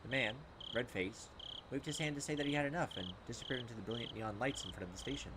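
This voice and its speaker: A man's voice: medium pitch, fast, background noise. A young man hosting a gaming video, reading game text aloud and adding casual commentary.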